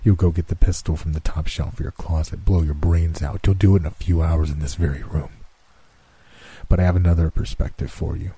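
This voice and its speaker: A man voicing Death, a calm and ominous grim reaper who speaks slowly and solemnly. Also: low voice, husky.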